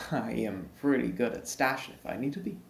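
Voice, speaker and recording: A man's voice: mid-range voice, expressive, moderate pace, gravelly. Sly Irish leprechaun played by a man, roomy and distant. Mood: genial, sly. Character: sly, vengeful male leprechaun posing as a workman. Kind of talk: radio mystery play acting. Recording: roomy, distant, with faint background noise.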